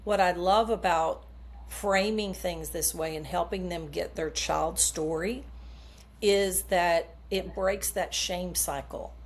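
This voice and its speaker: A woman's voice: fairly low pitch, fairly even intonation, takes it slowly. A middle-aged woman coach explaining her method earnestly in an interview, using homely comparisons. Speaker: subconscious coach, guest. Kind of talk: podcast interview answers.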